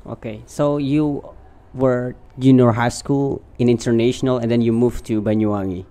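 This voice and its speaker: A man's voice: mid-range voice, fairly even intonation, moderate pace, dark tone. A man with an Indonesian accent hosting a podcast and casually interviewing a guest. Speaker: podcast host.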